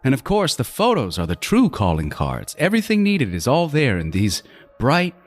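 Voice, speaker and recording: A man's voice: medium pitch, wildly swooping pitch, normal speed. An actor playing a meticulous, self-styled artist serial killer in a horror audio drama, savoring his words theatrically with swooping emphasis. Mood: smug, sly. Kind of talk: first-person horror audio drama monologue.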